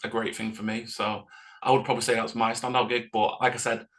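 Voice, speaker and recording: A man's voice: on the low side, little pitch movement, a little fast, a bit bright. A man, a band vocalist chatting informally and self-deprecatingly in an interview. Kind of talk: casual chat.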